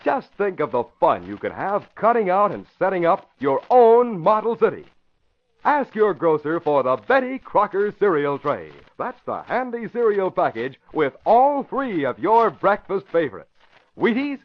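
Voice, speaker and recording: A male announcer from 1940s radio reads scripted copy with polished emphasis. His pitch moves in lively, wide swings. The sound is the dull, narrow tone of an old broadcast. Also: measured pace. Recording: muffled vintage radio broadcast.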